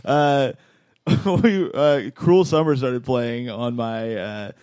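A man in his thirties co-hosting a podcast, telling relaxed, self-deprecating stories in casual chat. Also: medium pitch, slow, clean tone, no echo, studio quality. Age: 30s. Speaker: podcast co-host.

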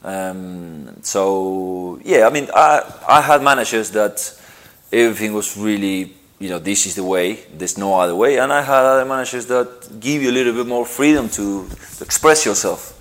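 A middle-aged man from Argentina answering interview questions, halting and repeating himself, with lots of "you know". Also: on the low side, expressive, a bit bright. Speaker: interviewed footballer.

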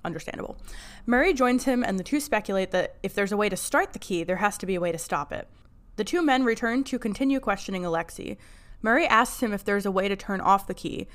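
A woman podcast co-host in easy, relaxed chat, now and then reading a recap in narration. Her voice sits at a middle pitch for a woman. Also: quick pace.

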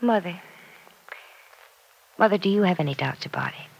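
An actress playing an earnest, self-doubting young woman in an old-time radio serial, confiding in a hesitant, soft-hearted way. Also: on the low side, expressive, moderate pace, creaky, husky, full character voice, poor audio. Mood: hesitant, troubled. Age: young adult.

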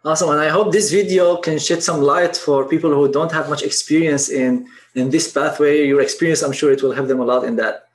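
Guy hosting his channel, kinda high voice, friendly quick questions. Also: steady tone. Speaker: podcast host, interviewer. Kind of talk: scripted-sounding interview questions.

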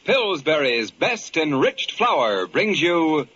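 A man's voice: a little high, big pitch swings, slow pace, pushed and bright, a little hiss or hum. A man with an American accent narrating in the dramatic style of mid-century radio, as announcer and storyteller. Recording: muffled vintage radio broadcast with music.

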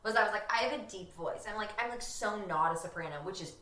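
A woman's voice: medium pitch, quick pace, crowd noise. A young woman co-hosting a podcast, chatting casually and animatedly and filling her talk with "like". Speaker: podcast co-host. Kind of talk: casual chat. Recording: roomy echo.

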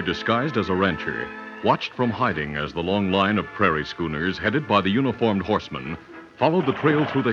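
Deep guy old radio cowboy show narrator, dramatic n measured, music behind, muffled old recording. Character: grave male narrator of a western adventure serial.

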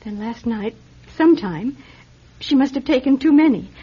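A woman acting a nervous female accomplice in a radio drama, with a husky voice. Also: high voice, animated, moderate pace, heavily acted. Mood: anxious. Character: nervous female accomplice feigning grief, eager yet uneasy. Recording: dull, lo-fi radio recording.

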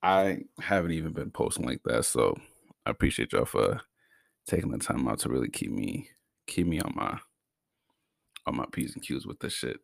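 A young man from Massachusetts hosts his own podcast, talking in a loose, slangy, laid-back way and stuttering now and then. His voice sits very deep with a gravelly fry. The mic is close and dry. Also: very animated, moderate pace, long pauses, dry recording. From Brockton, Massachusetts. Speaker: podcast host. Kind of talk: casual solo podcast talk.

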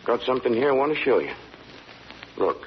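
An actor playing a loyal, soothing, folksy country neighbor with a rural American accent, in an old-time radio drama. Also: male voice, mid-range voice, big pitch swings, fairly quick, lightly acted. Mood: hesitant. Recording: dull, noisy old radio recording.

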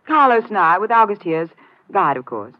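An actress playing a bright, friendly young woman photographer in a 1950s radio adventure. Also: mid-range voice, sing-song, normal speed, lo-fi sound, lightly acted. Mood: cheerful. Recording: slightly noisy old radio recording.

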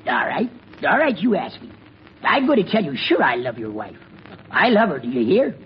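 A man's voice: high voice, big pitch swings, moderate pace. An actor playing a brash, blunt iceman stealing another man's wife in a vintage radio comedy. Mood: brash defiance. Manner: blunt, repetitive tough talk. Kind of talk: 1940s radio comedy acting. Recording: dull old radio, faint noise, audience.

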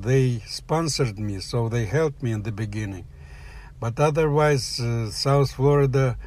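An older man from the former Soviet Union, speaking English with a Russian accent, telling a personal story in halting, plain phrases. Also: medium pitch, a little mellow. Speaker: guest storyteller. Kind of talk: personal story told aloud.

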